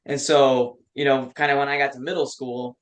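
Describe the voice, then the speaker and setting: A man's voice: mid-range voice, level tone, normal speed. A man in his twenties from Western New York, a metal band member chatting enthusiastically as a guest and swearing freely. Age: 20s. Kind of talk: casual chat.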